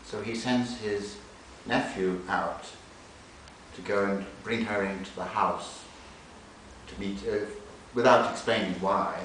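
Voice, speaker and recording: A man's voice: on the low side, fairly even intonation, slow pace, a bit bright. A man speaking at a live literary reading, recorded from a distance in a library room. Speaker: literary translator reading aloud. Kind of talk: introducing and reading a novel extract at a live reading. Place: indoor library event room. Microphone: distant recorder away from the speaker. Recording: echoey, hissy library room recorded from far off.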